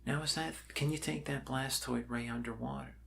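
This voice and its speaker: A man in an ASMR role-play playing a friendly doctor who rambles casually and haltingly to reassure an alien patient. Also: medium pitch, steady tone, creaky, fairly bright tone. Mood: friendly explaining.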